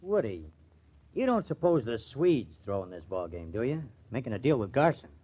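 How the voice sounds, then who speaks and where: A man's voice: mid-range voice, big pitch swings, moderate pace. A man playing a streetwise, skeptical ballplayer in an old-time radio crime drama, slangy and teasing. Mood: suspicious. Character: streetwise, skeptical male ballplayer, needling his teammate. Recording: dull, band-limited old radio recording.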